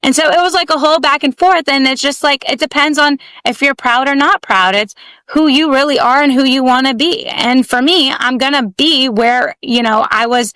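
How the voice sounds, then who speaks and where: A woman's voice: high-pitched, normal speed, pushed and bright, dry recording. A young woman from the United States, a guest in an interview, chatting casually with lots of "like" and "you know". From United States (Houston, Texas). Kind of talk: casual conversation.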